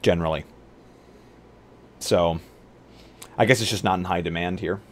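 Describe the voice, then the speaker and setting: A man streamer chatting casually on a livestream in a halting way, often restarting his sentences. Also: sits low.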